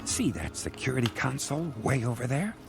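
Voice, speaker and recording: A man voicing a cocky, bossy crocodile who leads a cartoon detective team, with a gravelly voice. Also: mid-range voice, sing-song, a little fast. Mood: bossy planning. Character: brash male crocodile boss of a detective team. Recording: music behind the voice.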